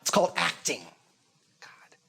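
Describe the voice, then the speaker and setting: A man's voice: unhurried, pushed and bright. A man playing a cocky, belittling acting teacher in a naturalistic comedy, talking in a brash, sarcastic, rambling way. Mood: impatient, sarcastic.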